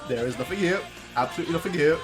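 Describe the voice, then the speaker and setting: A man reacts casually as a video host, in brief spontaneous comments. His pitch moves around a lot, and faint music plays behind him. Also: lively intonation, racing, rough recording. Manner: brief amused interjections.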